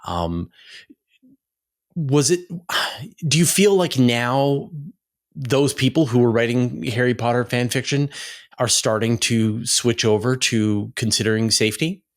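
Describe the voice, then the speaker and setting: A man's voice: medium pitch, measured pace. A man hosting an interview in a conversational style, searching for his phrasing as he goes. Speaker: interviewer, channel host.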